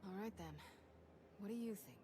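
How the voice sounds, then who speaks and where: An actress playing a brisk, candid ally in a video game, with a direct, informal delivery. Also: female voice, mid-range voice, expressive, noisy background, lightly acted. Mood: wry, direct. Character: brisk, candid female ally, ready for action. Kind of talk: video game cutscene acting. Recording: music behind the voice.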